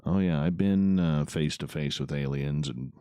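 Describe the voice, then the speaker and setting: A man's voice: extremely low pitch, moderate pace, warm tone, smooth, no room sound, studio quality. A man hosting a late-night radio talk show, chatting casually and laid-back, often tacking "man" onto his sentences.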